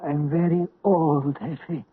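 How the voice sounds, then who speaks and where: A weak old man, high thin breathy voice, super slow, tinny old radio sound. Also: fairly even intonation, no echo. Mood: weary. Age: older. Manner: slow, pleading. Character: frail old man returning home to die. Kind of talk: 1970s radio drama acting.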